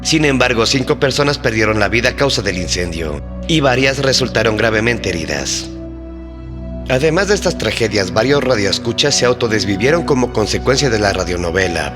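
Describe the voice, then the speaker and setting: A man narrating a scripted mystery documentary as host, in a measured, formal and dramatic storytelling manner. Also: mid-range voice.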